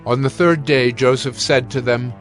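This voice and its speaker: A man narrating scripture in an even, measured way, over faint music. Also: mid-range voice.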